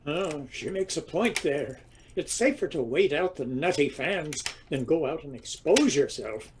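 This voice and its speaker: A man's voice: a little high, lively intonation, measured pace, noisy background. A man playing a dim, easygoing husband who teases dryly in a radio drama. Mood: dry teasing. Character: Joe, dim, teasing husband of a diva.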